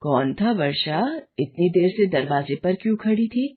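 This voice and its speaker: A woman's voice: on the low side, lively intonation, normal speed, narrow, tinny audio, lightly acted. A woman voicing a nosy, exclaiming older mother-in-law in a cartoon story. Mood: skeptical.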